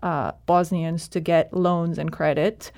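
A woman speaking as a guest in an interview, explaining in a measured way with frequent "um"s. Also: lowish voice, fairly even intonation, creaky, a little mellow. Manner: measured, explanatory, many fillers. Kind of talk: radio interview.

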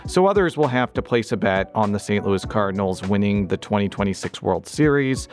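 A man's voice: lowish voice, animated, brisk, soft background music. A man hosting a public radio talk show, reading listener questions with a dry, self-deprecating humor.

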